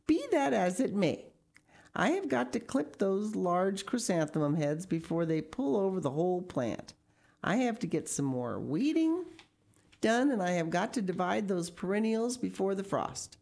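A woman's voice: mid-range voice, sing-song, moderate pace, vocal fry, darkish tone, pitched above the performer's own voice. An old woman witch from a storybook, acted out by a woman reader, proud of her garden and full of joyous wonder. Her voice swoops widely and exclaims with delight. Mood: resolute, musing.

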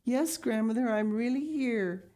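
An affectionate young girl in a children's story, voiced by a woman reader. She speaks high, eager and earnest, offering reassurance to someone she loves. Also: lively intonation, a little slow, mellow. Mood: reassuring.

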